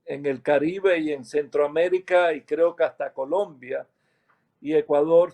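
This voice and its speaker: A middle-aged man from Cuba with a Cuban accent, calmly recounting a ministry's history, pausing often and correcting himself as he goes. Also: a little high. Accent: Cuban Spanish. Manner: unhurried, with many hesitations and self-corrections. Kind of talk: slow, reflective testimony.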